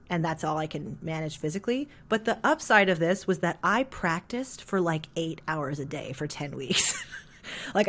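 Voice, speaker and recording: A woman in her thirties from Canada, a jazz singer chatting as an interview guest with a thick, heavy voice, full of "like" and quick laughs. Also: lowish voice. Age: 30s. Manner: rambling, filler-heavy, laughs often. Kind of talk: casual interview chat.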